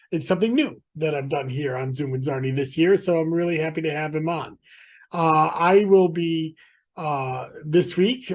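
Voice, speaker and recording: Host, male, fairly high voice, hesitant delivery full of fillers, on a video call. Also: darkish tone. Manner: halting, many filler sounds. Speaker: podcast host and elections commissioner. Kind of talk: casual video-call interview.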